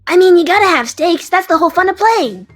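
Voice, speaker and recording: Woman voicing a high, whiny, childish dragon. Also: lively intonation, fast, soft background music. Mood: sheepish. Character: childish card-playing dragon, hungry, sulky, easily flustered. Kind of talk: audio drama acting.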